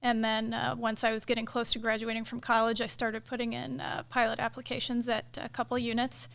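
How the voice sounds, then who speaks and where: Gravelly-voiced woman in a casual interview. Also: on the high side, brisk. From United States. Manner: relaxed, thoughtful, many fillers. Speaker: KC-135 pilot and director of inspections, guest.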